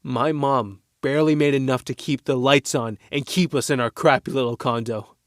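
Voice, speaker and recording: A man acts out a working-class teenage boy with a loyal streak and a load of guilt. He talks earnestly and firmly, in a mid-pitched voice. The sound is dry, as in a studio audio drama. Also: fairly even intonation, normal speed, dry recording, close to an everyday voice. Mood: guarded, regretful.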